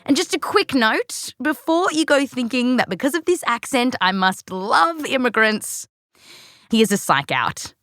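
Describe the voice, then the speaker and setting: A woman's voice: a little high, big pitch swings, measured pace, fairly bright tone, dry recording, studio quality. An Australian woman hosting a science podcast in a scripted narration with playful, jokey asides. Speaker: podcast host.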